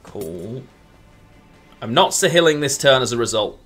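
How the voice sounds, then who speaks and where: A man's voice: mid-range voice, sing-song, a little slow, fairly bright tone, breathy edge, soft background music. A man from England streaming a card game, thinking aloud between moves and answering chat casually with lots of murmured fillers. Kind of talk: casual live-stream chat while playing.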